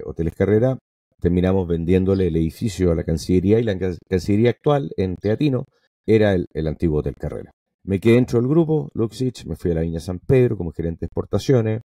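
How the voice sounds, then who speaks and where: A man, a podcast guest telling his story in an emphatic, animated way. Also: deep voice, no room sound, studio quality. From Venezuela. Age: 50s. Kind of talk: casual interview talk.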